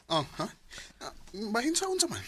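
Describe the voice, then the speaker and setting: Bright, swooping, evasive Cebuano young man. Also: very high-pitched, wildly swooping pitch, normal speed, breathy edge, full character voice. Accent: Filipino, Cebuano-speaking. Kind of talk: Bisaya radio drama acting.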